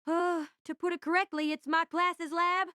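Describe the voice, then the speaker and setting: A woman voices an eager young male senpai with a high, bright voice. He is a friendly, chatty tinkerer, earnest and full of questions, recorded dry and close in audio drama style. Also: level tone, normal speed, no room sound. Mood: enthusiastic, friendly.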